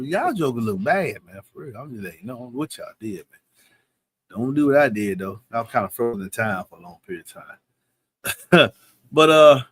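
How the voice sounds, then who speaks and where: A man's voice: medium pitch, fairly bright tone. A man in his fifties hosting a live Q&A in a loose, slangy, confident way. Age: 50s. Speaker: live stream host answering questions. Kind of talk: casual live Q&A chat.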